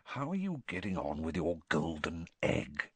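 A man's voice: medium pitch, very animated, moderate pace, fairly bright tone, breathy edge, dry recording, pitched above the performer's own voice. A man voicing a jovial, shifty middle-aged sports official in an audiobook, falsely chummy, with a hesitant, confiding, blustering manner. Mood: low, coaxing.

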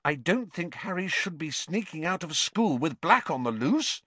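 A man voicing a bright, bossy teenage schoolgirl witch in a storybook, with an earnest, sharp manner. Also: mid-range voice, huge pitch swings, normal speed, slightly rough, no echo, voice pushed up. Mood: cross.

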